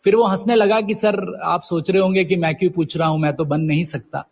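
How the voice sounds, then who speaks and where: A man's voice: high voice, fairly even intonation, fairly quick, gravelly, darkish tone. A middle-aged man from India talking reflectively in an interview, mixing in English words and telling anecdotes conversationally. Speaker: guest poet and professor.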